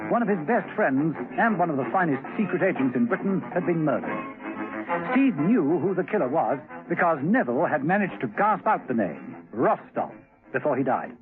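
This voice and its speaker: A male narrator delivers scripted radio drama with lively, rising and falling intonation. The recording is tinny and vintage, with music behind him. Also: fairly high pitch, normal speed.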